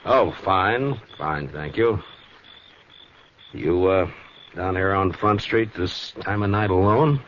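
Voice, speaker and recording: A man's voice: sits low, lively intonation, takes it slowly, long pauses, fairly bright tone, a little hiss or hum, heavily acted. An American man playing a weary, watchful lawman in a 1950s western radio drama, speaking in an understated, hesitant way. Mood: firm, polite. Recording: dull 1950s radio recording with music.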